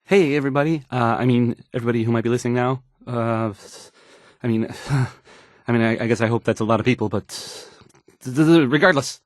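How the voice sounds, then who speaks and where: An actor playing a nervous, bumbling, insecure young radio DJ in a game, hesitant and stammering through the news. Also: male voice, mid-range voice, fairly quick, dry recording, clean studio sound, natural voice. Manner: stammering, hesitant, self-doubting. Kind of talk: halting radio news announcing.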